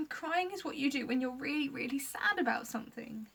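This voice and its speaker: A woman's voice: high-pitched, lively intonation, normal speed, creaky, a bit bright, far from the mic, pitched above the performer's own voice, heavily acted. Woman voicing Lucy, an earnest young girl. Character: young girl, upset but sensible.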